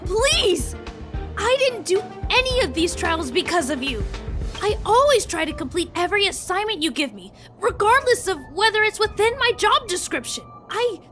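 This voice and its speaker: Game casting: anxious, loyal boy guard, high-pitched, polite and hesitant, light music behind. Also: a bit bright, exaggerated voice. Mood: flustered, pleading. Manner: hesitant, formal, deferential. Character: dutiful, polite young male guard, anxious and deferential. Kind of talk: anime-style video game voice acting.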